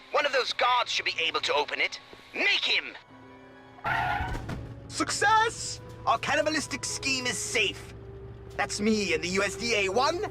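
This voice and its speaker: A pompous alien mastermind schemes in florid, theatrical phrases. The voice is high and swoops dramatically, as in an animated video game, with music playing behind it. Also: male voice, full character voice. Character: scheming, pompous alien commander, disembodied as a hologram.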